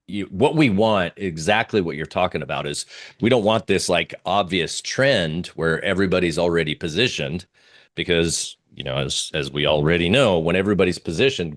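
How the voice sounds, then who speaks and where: A man giving informal live market commentary as a guest analyst, halting and searching for his words. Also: sits low.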